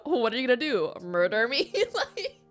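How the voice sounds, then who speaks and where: Lively, higher-voiced woman streamer. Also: moderate pace. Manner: expressive, gushing, joking. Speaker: streamer reacting to a game. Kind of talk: casual live reaction commentary. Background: game music.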